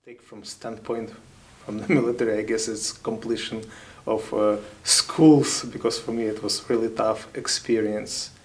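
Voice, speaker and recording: Soft-spoken Ukrainian-accented man, halting. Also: lowish voice, level tone. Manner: halting, thoughtful, with many pauses and fillers. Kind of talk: interview answers.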